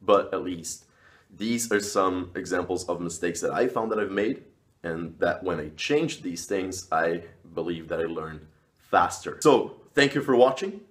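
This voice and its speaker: Low-voiced man giving advice. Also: lively intonation. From Brazil. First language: Portuguese. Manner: earnest, measured, with frequent "uh" and "you know". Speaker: language vlogger. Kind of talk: talking-head video advice.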